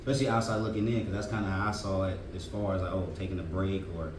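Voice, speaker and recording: A man co-hosting a podcast, casual and encouraging, chiming in with quick reactions. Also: deep voice, fairly even intonation. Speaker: co-host. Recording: echoey room, distant mic, background noise.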